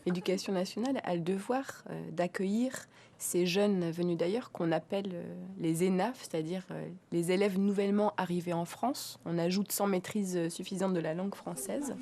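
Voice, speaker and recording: A woman teacher explaining in an interview, pausing often with fillers and restarting phrases. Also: lowish voice, mellow. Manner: hesitant, frequent filler pauses and restarts.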